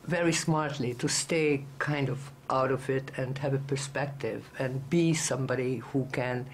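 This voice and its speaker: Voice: medium pitch, steady tone, measured pace. An interviewer puts long, earnest questions to a film actor, circling back and restarting mid-sentence. The voice sits in a middle register. Faint background noise runs under it. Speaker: entertainment interviewer. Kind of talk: press junket interview questions.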